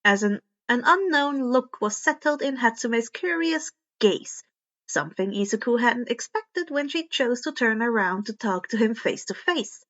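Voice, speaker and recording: A woman reads fan fiction aloud as expressive narration, now and then stumbling over a word. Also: a little high, measured pace, highs cut off. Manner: occasional stumbles over words. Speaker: podfic reader.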